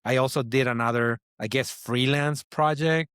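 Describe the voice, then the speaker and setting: A man's voice: mid-range voice, fairly even intonation, moderate pace, fairly bright tone, smooth, dry recording, studio quality. A man from Mexico with a heavy Mexican Spanish accent, Spanish his first language, telling a rambling story as a guest in a casual interview, with many fillers and restarts. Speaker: designer guest.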